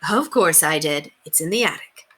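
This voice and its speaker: A woman's voice: low-pitched, lively intonation, normal speed, sharp tone, breathy edge, full character voice. Woman reading as an assured, teasing housekeeper. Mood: playful. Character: affectionate, playfully firm English housekeeper woman. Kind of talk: children's storybook read-aloud.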